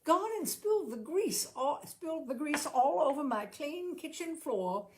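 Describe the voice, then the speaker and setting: Lady doing a servant girl from a storybook, high and fussy, scolding but fond, voice jumps around. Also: very animated, a little slow, a little mellow, slight background noise. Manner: exclaiming, fussing. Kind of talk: storybook narration dialogue.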